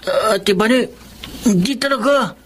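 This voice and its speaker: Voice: medium pitch, measured pace, a little hiss or hum, lightly acted. A performer voicing a shy, illiterate teenage boy who talks hesitantly and politely in a radio drama. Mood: timid.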